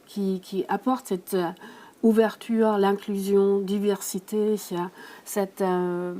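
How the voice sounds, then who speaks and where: A woman at a conference interview speaks very slowly and gropes for her words, pausing on many fillers. Her voice has a soft, mellow tone. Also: mid-range voice, flat delivery. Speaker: coach.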